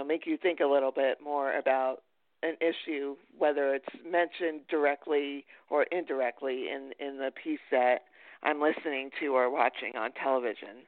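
Halting woman interviewer on a phone-like line. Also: deep voice, no echo. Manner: hesitant, halting, meandering. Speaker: podcast host, interviewer. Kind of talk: casual interview chat. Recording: tinny phone-like line, dry.

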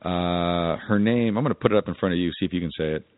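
A man's voice: low voice, fast, no room sound, narrow, tinny audio. A man co-hosting a podcast in casual, informal conversation. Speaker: podcast co-host. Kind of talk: casual chat.

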